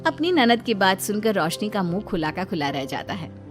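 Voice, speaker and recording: A woman narrating a scripted family story in an expressive storytelling manner. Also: mid-range voice, moderate pace, over music.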